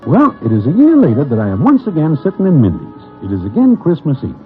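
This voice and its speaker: A man's voice: a little high, wildly swooping pitch, quick pace. A man playing a wry, mild Broadway regular telling his story in formal slang in a radio drama. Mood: wry, storytelling. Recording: narrow lo-fi sound with music.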